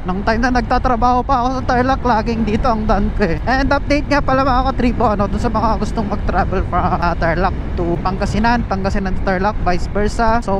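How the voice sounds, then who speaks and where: A Filipino-accented man chatting casually as a motorcycle vlogger, informal and talkative, with English interjections mixed in, recorded on a helmet mic while riding. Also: high voice. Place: outdoors, riding a motorcycle on the road. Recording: loud wind and engine road noise.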